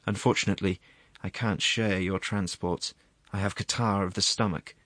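A man's voice: deep voice, fairly even intonation, normal speed, a bit bright, no echo, clean studio sound. A man voicing a sour, wistful and impatient assistant prosecutor in a story reading, with a sighing, moaning way of talking.

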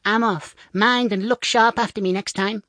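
A man's voice: sits high, fairly even intonation, normal speed, sharp tone, no room sound, clean studio sound, pitched above the performer's own voice. A man voicing a tiny, plucky, cheeky thumb-sized boy in a storybook tale, bold and impish. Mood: cheeky, eager.

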